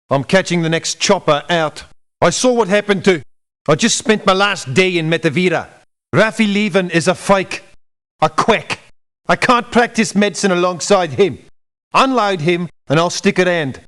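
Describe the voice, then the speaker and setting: An actor voicing a proud, sardonic doctor turned mercenary in a video game, haughty and scolding. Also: male voice, sits high, fairly bright tone. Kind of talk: video game character lines.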